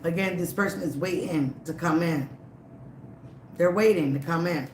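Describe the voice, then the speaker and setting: A tarot reader giving a live reading, emphatic and repetitive, slightly raspy from a cold. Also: medium pitch, moderate pace, roomy sound, slight background noise, low-quality recording. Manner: emphatic, repeats phrases, frequent "okay?" and "all right?".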